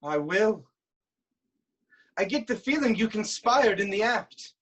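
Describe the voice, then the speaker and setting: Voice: mid-range voice, expressive, slow, a bit bright, slightly rough, heavily acted. An actor playing a proud, hot-tempered king in a Greek tragedy reading, declaiming accusations with commanding formality. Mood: outraged, scornful.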